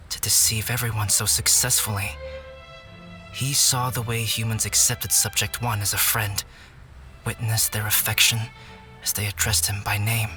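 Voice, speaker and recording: Young scholarly alchemist in a game, calm n measured, clear forward bright voice, soft music behind. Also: male voice, mid-range voice. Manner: measured, composed, articulate. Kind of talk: video game voice acting, storytelling.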